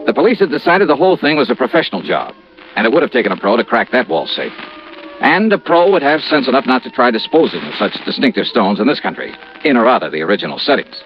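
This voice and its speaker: An actor playing a confident, hard-boiled insurance investigator in a 1950s radio drama, with an American accent and a brisk, wry, conversational manner. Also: male voice, medium pitch, big pitch swings, faint music behind, lightly acted. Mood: wry narrating. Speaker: lead actor, narrator. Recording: muffled, noisy vintage radio recording.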